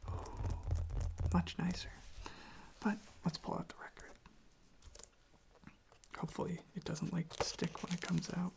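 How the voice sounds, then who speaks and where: Breathy, gravelly ASMR whisperer chatting softly. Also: medium pitch, monotone, unhurried, long pauses. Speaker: ASMR video host. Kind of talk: soft-spoken whispered ASMR, casual chat.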